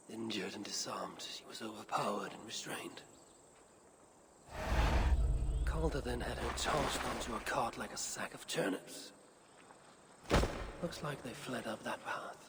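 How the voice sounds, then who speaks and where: An actor playing a grim, determined warrior in a video game, with a gravelly voice. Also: male voice, medium pitch, unhurried, very noisy, lightly acted. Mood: grim narrating.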